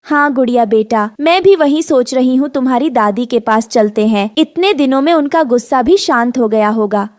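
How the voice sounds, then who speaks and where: A woman's voice: sits high, moderate pace, hardly any pauses, darkish tone, dry recording, studio quality, natural voice. A woman voicing an anxious, polite young mother sparrow who pleads in a cartoon story. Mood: hopeful. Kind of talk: Hindi cartoon story dubbing.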